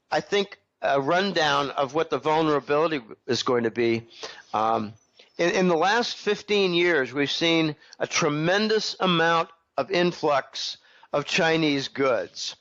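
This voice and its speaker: Throat-clearing man getting over a head cold. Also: fairly high pitch, expressive, slow, fairly bright tone, lo-fi sound. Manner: rambling, clears throat often. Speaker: interview guest. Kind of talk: casual interview commentary.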